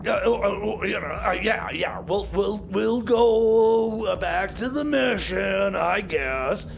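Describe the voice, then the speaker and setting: A man playing a high-strung time machine mechanic in comedy radio theater, whining and stammering his complaints in a high, gravelly voice. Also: lively intonation, slow, slight background noise, full character voice. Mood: sheepish, evasive.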